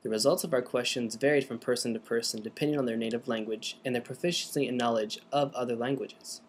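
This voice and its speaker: A man's voice: mid-range voice, fairly even intonation, fast talker, slight background noise. A man narrating and asking interview questions in a scripted, announcing manner.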